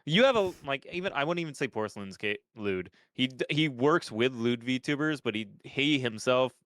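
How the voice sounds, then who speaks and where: A man's voice: medium pitch, expressive, normal speed, a bit bright, no echo. A young man with a stuffed-up nose, chatting on a podcast in a rambling way, with lots of restarts and casual swearing. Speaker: podcast host.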